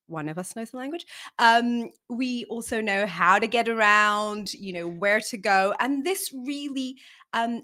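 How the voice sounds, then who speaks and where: A woman from Portugal talks to an online audience as a conference speaker. Her voice sits at a medium pitch, and she tells things in an informal, chatty way. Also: a bit bright. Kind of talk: conversational talk to livestream audience.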